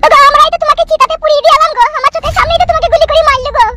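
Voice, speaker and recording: A woman's voice: very high voice, fairly even intonation, very fast, pushed and bright. A woman voicing a sly, pleading wife in an amateur comedy cartoon, wheedling and defensive. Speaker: cartoon voice actor.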